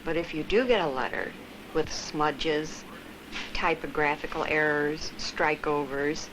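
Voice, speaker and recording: An office worker, she has a very low female voice. She speaks in interview style, with clear noise behind her on an old film soundtrack. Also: unhurried. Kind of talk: documentary interview.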